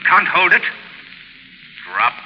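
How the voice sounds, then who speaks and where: A man's voice: high voice, very animated, slow, full character voice. A sinister unseen American avenger from a 1930s radio thriller. His delivery swings dramatically, commanding and mocking, ringing in a long reverb on a dull, scratchy old recording.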